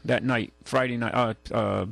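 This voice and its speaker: Low gravelly guy hosting am talk radio, rambles and stumbles a bit, really dry mic. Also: fairly even intonation, a bit bright, no echo. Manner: halting, rambling delivery. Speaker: radio call-in show host. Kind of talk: casual talk radio, reading news and chatting. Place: radio studio. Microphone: close studio microphone.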